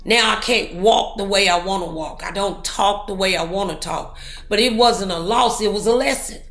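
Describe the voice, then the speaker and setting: A woman's voice: mid-range voice, normal speed, fairly bright tone, echoey room, soft background music. A woman hosting a talk show, speaking in an encouraging, preachy way full of motivational sayings.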